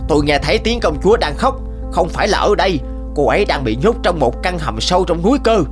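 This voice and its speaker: An odd, loyal cartoon henchman, acted by a man, talks in a somewhat high male voice. He is good-natured, eager and exclamatory, with light music behind. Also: animated, quick pace, close to an everyday voice. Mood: alarmed, eager. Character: odd loyal fairy-tale servants with strange powers, good-natured, eager. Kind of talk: animated fairy-tale dubbing.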